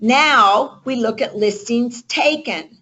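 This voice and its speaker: A woman's voice: fairly high pitch, takes it slowly, bright, forceful tone, breathy edge, muffled recording. A woman giving motivational coaching to a group on a conference call, emphatic and checking in with rhetorical questions. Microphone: conference call line.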